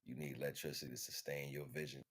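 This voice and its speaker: A man delivering an impromptu spiritual monologue in an emphatic, repetitive, slangy street-preacher manner, with a husky voice. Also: rumbling low, flat delivery, fast talker. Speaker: spiritual teacher streaming online.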